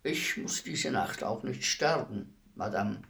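An actor playing a calm, implacable executioner in a radio play, speaking in a measured, politely formal, unperturbed manner. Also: male voice, on the low side, gravelly, exaggerated voice. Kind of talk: theatrical radio play acting.

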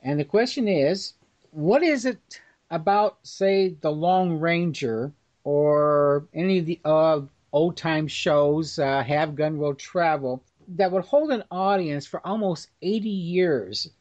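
Male moderator, fairly high voice, courteous and formal, hosting a roundtable on slightly lo-fi audio. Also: slow, darkish tone. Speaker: podcast host.

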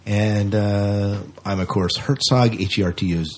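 Casual podcast host guy, kinda low and raspy, just chatting. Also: fairly even intonation, measured pace.

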